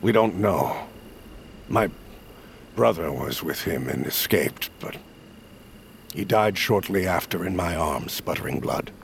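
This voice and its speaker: A male actor playing a solemn, persuasive leader of smuggler outcasts in a fantasy audio drama, with measured, formal, archaic phrasing. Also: low-pitched, animated, creaky, a little hiss or hum, full character voice. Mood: grave. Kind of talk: audio fiction acting.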